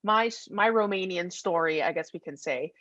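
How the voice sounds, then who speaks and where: A woman's voice: fairly low pitch, normal speed, a bit bright, no room sound. A woman hosting a podcast, chatting casually and putting questions to a guest. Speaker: podcast host asking questions. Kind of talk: casual chat. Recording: slightly dull, lo-fi sound.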